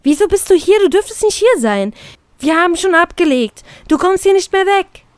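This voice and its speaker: A woman voicing an excitable, anxious little sailor boy who stammers in agitation in an audio story. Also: extremely high pitch, moderate pace, no room sound, studio quality, pitched above the performer's own voice. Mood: panicked. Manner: stammering, agitated, breathless whispering and scolding.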